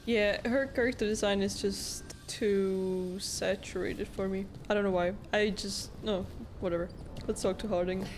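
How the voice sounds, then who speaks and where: A woman's voice: mid-range voice, steady tone, moderate pace. A woman gamer giving casual, offhand commentary as she plays, musing aloud. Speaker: gamer, video creator. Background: game music.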